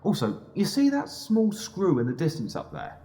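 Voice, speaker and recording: A man's voice: a little high, huge pitch swings, normal speed, warm tone. An actor playing a man's confident inner self in an audio drama, earnest and pleading, with an emphatic, coaxing manner. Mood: encouraging.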